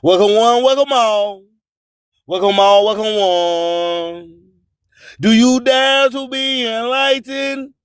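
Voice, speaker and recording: A man's voice: very high-pitched, slow pace, clear, resonant tone, dry recording, clean studio sound. A man hosting radio with a rhyming spoken-word monologue, proclaiming emphatically and boastfully and drawing out his phrases. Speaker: radio host and music promoter.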